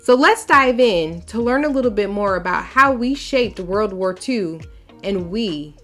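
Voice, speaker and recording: An American woman hosting a storytime, reading aloud in an expressive, measured storytelling style with emphatic phrase openings. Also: mid-range voice, soft background music. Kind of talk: expressive children's read-aloud narration.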